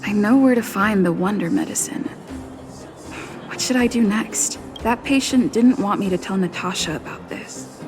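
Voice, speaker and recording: A woman's voice: mid-range voice, normal speed, lightly acted. A woman voicing a practical young adventurer thinking aloud in a video game, with music underneath. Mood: pondering.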